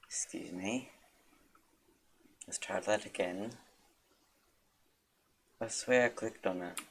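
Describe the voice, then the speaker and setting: A woman streamer with a gravelly voice, casually muttering and scolding herself as she plays. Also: very deep voice, slow, a little hiss or hum. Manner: muttering, self-deprecating. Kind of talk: casual gameplay commentary. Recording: loud game music behind.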